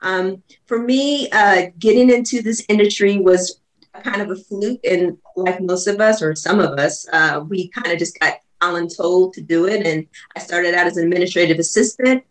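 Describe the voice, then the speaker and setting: A woman's voice: on the low side, moderate pace, poor audio. A woman guest chatting casually in an interview, filling her talk with ums and restarts. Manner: chatty, many fillers and restarts. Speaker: guest, business continuity consultant.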